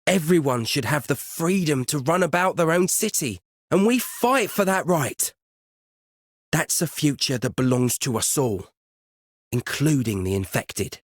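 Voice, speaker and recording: A man's voice: on the high side, lively intonation, a little slow, no room sound, clean studio sound. A man voicing an earnest, idealistic young male freedom fighter in a video game. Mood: determined. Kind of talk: video game character voice line.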